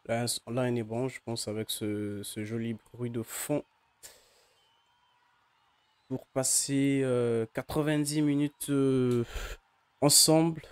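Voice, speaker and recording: A man's voice: mid-range voice, steady tone, slow pace, pauses often, clean tone, dry recording. A man with the flu, his voice not at its best, giving casual live commentary on a football match for his chat, hesitant and full of fillers. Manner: hesitant, many "euh" fillers and restarts.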